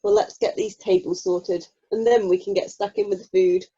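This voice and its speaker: An amateur actress playing a helpful, matter-of-fact single mum in a radio play. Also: female voice, medium pitch, steady tone, normal speed, mellow, slightly rough, natural voice. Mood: brisk, helpful. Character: helpful, practical single mum, drama club member. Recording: muffled, lo-fi video call audio.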